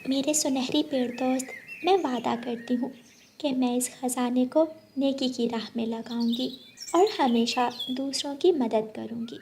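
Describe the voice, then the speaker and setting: A woman's voice: sits high, fairly even intonation, measured pace, darkish tone, a little hiss or hum. A woman voicing a brave, kind little female bird in a children's cartoon, earnest and pleading in manner. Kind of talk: Hindi children's cartoon acting.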